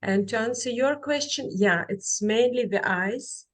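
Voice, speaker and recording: A woman giving a webinar presentation over a video call. She speaks haltingly and fills pauses with many ums and restarts. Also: a little high. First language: Kazakh. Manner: halting, with frequent fillers and restarts. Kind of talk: online presentation and Q&A.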